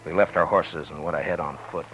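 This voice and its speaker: Steady lawman, super deep voice, calm and in charge, music under it. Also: fairly quick, dull audio. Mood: narrating. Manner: calm, authoritative. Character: steady, commanding male frontier marshal. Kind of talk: 1950s radio drama acting and narration. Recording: old radio broadcast with music bed.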